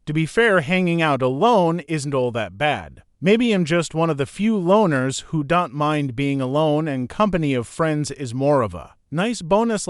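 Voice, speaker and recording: Smooth, dry-voiced male post reader. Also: on the high side, no echo. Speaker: narrator reading forum posts. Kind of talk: scripted narration.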